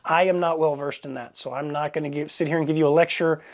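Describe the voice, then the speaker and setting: Man with a higher-pitched voice reviewing gear. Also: fairly even intonation, fast talker. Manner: plainspoken, conversational, matter-of-fact. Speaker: product reviewer, host. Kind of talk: casual unscripted product review.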